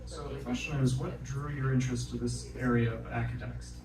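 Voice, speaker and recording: A man hosting a live event, moderating casually in a relaxed, halting way. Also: mid-range voice, crowd noise. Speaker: event host. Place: bar venue stage, roomy. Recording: echoey venue from far off, noisy.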